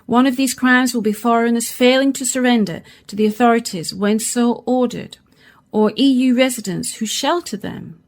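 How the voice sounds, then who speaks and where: A woman playing an authoritarian radio announcer, reading government decrees in a formal, measured and official way for a radio play. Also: fairly high pitch, lightly acted. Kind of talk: formal scripted broadcast announcement.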